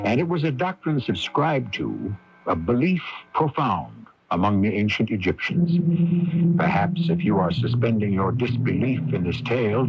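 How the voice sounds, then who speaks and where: A man hosting a radio drama with deliberate, theatrical scripted narration. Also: fairly low pitch, slight background noise. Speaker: radio drama host and announcer. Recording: dull lo-fi AM radio, faint music.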